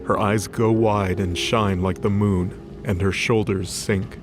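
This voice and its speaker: A man serves as narrator of a scripted fiction drama. His voice is on the low side, delivering dramatic storytelling over music in the background. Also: steady tone, measured pace. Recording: music behind the voice.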